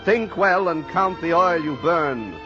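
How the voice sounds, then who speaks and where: Solemn radio sea-tale narrator. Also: male voice, fairly high pitch, animated, measured pace. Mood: solemn, urgent. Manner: solemn, rhetorical, literary declamation. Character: solemn storyteller of a seafaring tale. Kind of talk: dramatic old-time radio narration. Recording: dull vintage radio recording, music behind.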